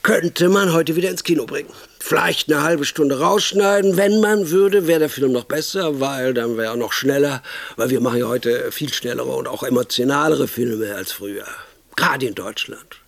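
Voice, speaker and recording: Pushy, pompous film producer, dry sound. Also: male voice, medium pitch, normal speed, gravelly, dry recording. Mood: scheming, self-assured. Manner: bossy, rambling, self-enthused, with hesitations and tag questions. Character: pompous, crude, impatient German male film producer pitching movie ideas. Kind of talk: radio comedy monologue, improvised-sounding pitch.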